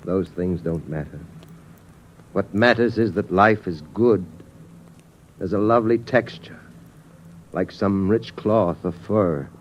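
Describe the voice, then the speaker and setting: A man's voice: deep voice, slight background noise. Resolute father in a muffled radio play. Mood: earnest, resolute. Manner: earnest, measured, philosophical. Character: resolute, reflective father, survivor of a doomed Earth. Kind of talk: old-time radio drama acting. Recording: dull vintage radio recording.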